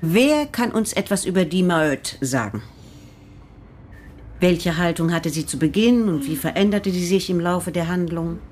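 An actress playing a strict, earnest literature teacher in a radio drama, didactic and firm. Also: female voice, fairly low pitch, lively intonation, moderate pace, darkish tone. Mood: firm, impatient. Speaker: school teacher.

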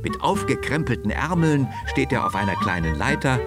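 A man's voice: medium pitch, sing-song, normal speed. A man narrating a scripted children's radio story as its storyteller. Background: music.

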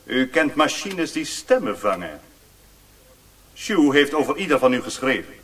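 A man playing a courteous, commanding Chinese lord in a radio play, speaking in a formal, measured, stately manner. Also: mid-range voice, very animated, fairly bright tone. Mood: probing. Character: courteous, commanding Chinese lord, curious about Western science. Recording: hissy old radio play recording.

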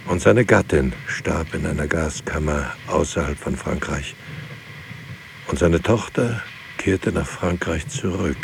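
An actor playing a grave narrator in a literary radio drama, speaking in a solemn, measured way. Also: male voice, rumbling low, vocal fry, background noise, heavily acted. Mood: grave, matter-of-fact. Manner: solemn, measured, terse sentences. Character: grave male narrator recounting lives and losses.

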